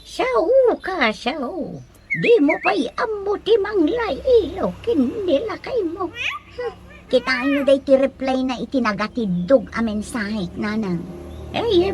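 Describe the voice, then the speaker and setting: A woman playing a nagging, quick-tempered Ilocano wife in a comedy radio drama, theatrical and whining, with an Ilocano accent. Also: high-pitched, exaggerated voice. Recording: clearly noisy recording.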